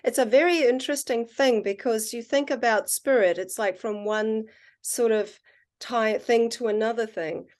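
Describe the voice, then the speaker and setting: Kiwi woman musing earnestly in a podcast chat, drags out the big points, mid-pitched. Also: a little mellow. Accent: New Zealand. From New Zealand. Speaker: podcast guest, water researcher. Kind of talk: casual conversational interview.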